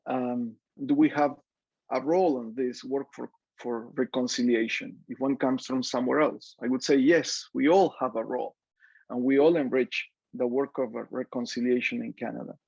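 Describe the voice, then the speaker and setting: A man's voice: mid-range voice, steady tone, normal speed, vocal fry, darkish tone, breathy edge, no echo, muffled recording. A man in his forties from Peru with a Peruvian Spanish accent, speaking Spanish as his first language, giving an earnest, reflective panel talk with many fillers and restarts. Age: 40s.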